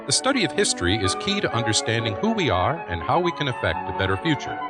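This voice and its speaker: A man's voice: medium pitch, sing-song, quick pace. A man reading a podcast's opening announcement in a polished announcer style. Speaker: show announcer. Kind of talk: scripted show intro. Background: loud music.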